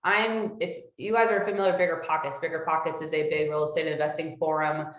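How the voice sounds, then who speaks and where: A woman's voice: low-pitched, flat delivery, fast talker. A woman introducing herself casually as an online panel guest, explaining things informally as she goes. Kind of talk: casual, unscripted self-introduction. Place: room with echo, online video call. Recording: echoey room on video call.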